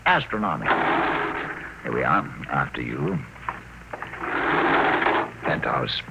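An actor playing a fussy, admiring aide to a tycoon, emphatic and given to repeating himself, in old-time radio drama style. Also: male voice, a bass voice, big pitch swings, takes it slowly, gravelly, heavily acted. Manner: emphatic, repeats words for effect. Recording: noisy vintage radio broadcast.

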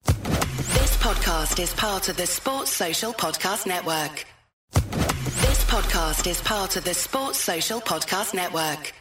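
A woman announcer reads a short network ident slowly and evenly, her voice set a little low. She sounds far from the mic in a roomy space, with loud music playing behind her. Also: expressive.

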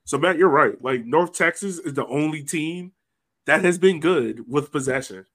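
A man's voice: fairly high pitch, expressive, normal speed, fairly bright tone. A man on a casual sports podcast panel, talking in a blunt, colloquial, jokey way. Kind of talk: casual soccer discussion.

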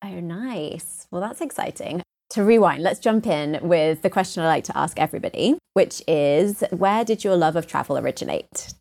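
A woman's voice: fairly low pitch, animated, normal speed, creaky, few pauses, darkish tone. Upbeat woman hosting a podcast. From United Kingdom. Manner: upbeat, laughs often. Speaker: podcast host. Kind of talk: travel podcast interview.

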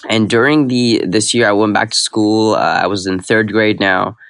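Low-voiced young man telling his story. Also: fairly even intonation, normal speed, vocal fry, a bit bright, dry recording, clean studio sound. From Iraq. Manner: reflective, with frequent restarts and fillers. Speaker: guest. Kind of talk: personal storytelling in an interview.

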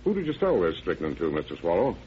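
An actor playing a confident, methodical sheriff in an old radio drama, firm and brisk. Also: male voice, low voice, huge pitch swings. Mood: businesslike. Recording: dull, noisy old radio recording.

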